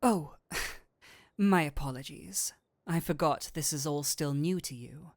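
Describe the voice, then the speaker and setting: A man playing a flirtatious incubus demon in a roleplay audio drama, with a teasing, theatrical, drawn-out delivery. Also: on the high side, no room sound, studio quality. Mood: coaxing, businesslike. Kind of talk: scripted roleplay audio drama, addressing the listener.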